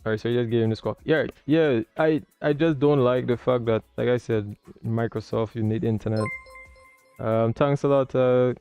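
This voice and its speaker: A man's voice: medium pitch, steady tone, moderate pace, clear, resonant tone, dry recording, dull audio, soft background music. A man hosting a livestream, talking casually as he comments and explains as a learner. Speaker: livestream host learning air traffic control.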